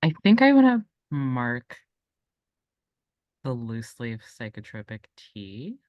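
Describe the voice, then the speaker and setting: A man's voice: mid-range voice, huge pitch swings, slow, dry recording, lo-fi sound. A man playing a tabletop game, casually and tentatively talking through his character's choices. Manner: tentative, thinking aloud.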